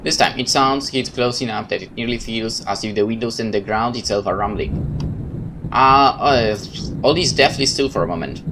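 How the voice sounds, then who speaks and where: A man reads a horror game's story aloud in a medium-pitched voice. He narrates in the first person with dramatic expression, slipping into casual asides. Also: moderate pace, noisy background. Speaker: let's player reading a game aloud.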